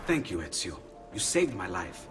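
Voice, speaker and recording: Over prominent music comes a gentle, anxious Renaissance man with an Italian accent. His low voice swings widely in pitch, in the manner of video game cutscene acting. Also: sing-song, moderate pace. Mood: grateful, modest.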